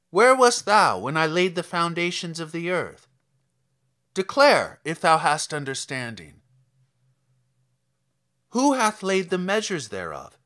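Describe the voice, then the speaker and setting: A man's voice: high-pitched, bright, forceful tone, full character voice. A man reading scripture as a stern, commanding God, declaiming solemn rhetorical challenges in archaic English.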